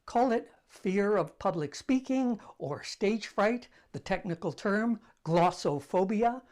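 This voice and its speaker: An older man with a soft voice, hosting a casual talk to camera, telling stories in a conversational, self-deprecating way. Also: high-pitched, animated, slow pace. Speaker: host.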